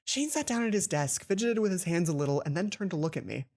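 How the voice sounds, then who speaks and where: A woman reading a visual novel aloud for a let's play, expressive, with casual asides of her own. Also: lowish voice, fast talker. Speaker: let's player.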